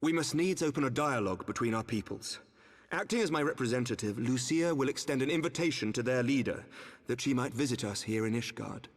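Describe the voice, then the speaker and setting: An actor playing an earnest, burdened noble statesman in a fantasy game, speaking formal archaic English in measured, courteous phrases. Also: mid-range voice, animated. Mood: resolute, courteous. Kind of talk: dramatic fantasy video game cutscene acting. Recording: long reverberant hall echo.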